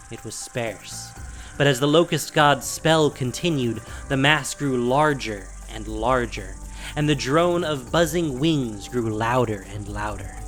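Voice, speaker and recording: A man's voice: mid-range voice, fairly bright tone. Man narrating fantasy drama over faint music.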